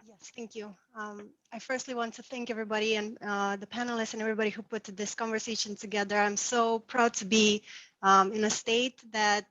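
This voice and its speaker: A woman's voice: mid-range voice, level tone, fairly quick, pushed and bright, no room sound. A woman asks a panel a question, searching for her words with earnest restarts. The video-call audio is dull and lo-fi, with no room sound at all. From Romania. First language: Romanian. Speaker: audience member asking a question.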